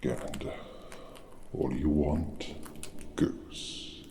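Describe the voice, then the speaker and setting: A colossal, ancient-feeling giant druid murmurs in an ASMR fantasy roleplay. The voice is rock-bottom low and full of heavy gravelly fry, slipping into breathy whispers. He is gentle, brooding and cryptic, doling out short phrases extremely slowly over faint background noise. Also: steady tone, full character voice. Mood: slow, teasing.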